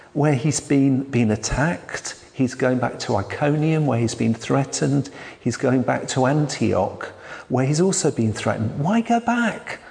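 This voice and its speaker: Quiet gravelly preacher in a hall. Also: male voice, medium pitch. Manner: quiet, hesitant, with frequent restarts. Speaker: church elder preaching. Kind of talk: sermon. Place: church hall. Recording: long hall reverb.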